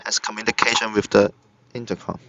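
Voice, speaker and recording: A man narrating a step-by-step software tutorial in short, halting instructional phrases. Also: on the low side, little pitch movement. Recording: dull lo-fi computer recording. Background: screen-recorded tutorial voice-over.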